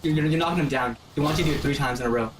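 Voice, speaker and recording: A man's voice: on the high side, rapid-fire. A young man giving casual, opinionated gameplay commentary to friends, often checking in as he explains. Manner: opinionated, often tags phrases with "right?". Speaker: game commentator guiding friends. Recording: distant mic, noisy room.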